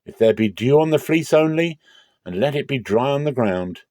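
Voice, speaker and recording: A man acts out an anxious biblical hero in a dramatic reading. The voice is mellow and closely recorded, earnest and emphatic as he humbly pleads with his God. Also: mid-range voice, lively intonation, moderate pace, no echo, studio quality, voice pushed up, natural voice. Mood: humble entreaty.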